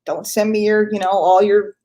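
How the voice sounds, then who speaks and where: A woman's voice: mid-range voice, fairly even intonation, normal speed, dark tone. Stuffy-nosed woman giving a pep talk. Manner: earnest, repeats key lines for emphasis; casual, conversational, with fillers and self-corrections. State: stuffy from a cold. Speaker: host. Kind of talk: motivational business talk.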